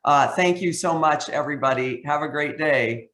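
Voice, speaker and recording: A man's voice: a little high, moderate pace, a bit bright. A man speaking as a guest at a forum, appreciative and conversational in his informal remarks. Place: virtual forum, echoing room. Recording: roomy, echoing sound.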